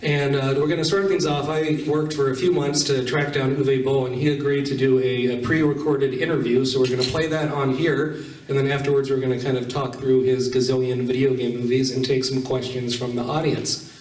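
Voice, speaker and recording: A man's voice: mid-range voice, level tone, brisk, darkish tone. A man casually hosting a panel and addressing an audience, recorded from a distance in a room. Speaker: panel host and moderator. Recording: roomy, distant, noisy hall recording with clicks.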